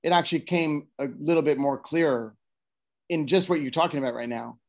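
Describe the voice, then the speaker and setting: A man's voice: fairly high pitch, fairly quick. A man in an online study group talking loosely and correcting himself mid-sentence. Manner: rambling, self-correcting. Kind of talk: casual discussion.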